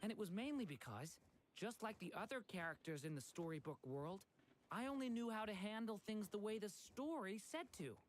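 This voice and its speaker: A woman's voice: medium pitch, expressive, normal speed, a little hiss or hum, close to an everyday voice. A woman voicing an earnest, hopeful young dragon boy in a video game. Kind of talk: video game story voice acting.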